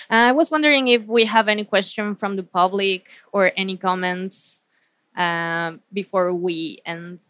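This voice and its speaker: A woman's voice: lowish voice, a bit bright, no echo. A woman who speaks English as a second language, moderating an informal panel discussion in a reflective, loosely structured way. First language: not English, a non-native English speaker.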